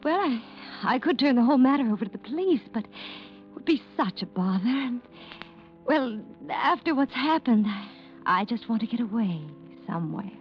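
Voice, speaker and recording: Woman acting a scheming noir widow, coy, mock-innocent, polished, animated pitch, vintage radio drama, dulled band-limited sound. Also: normal speed, natural voice. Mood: coy, calculating. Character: Janet, coolly scheming wealthy widow, feigning sweet helplessness. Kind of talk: 1940s radio mystery acting. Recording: muffled old radio broadcast, organ music behind.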